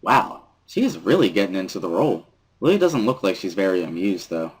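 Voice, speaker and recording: A man reading first-person story narration from a visual novel as a dramatic reading, with a husky voice. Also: low voice, big pitch swings, brisk.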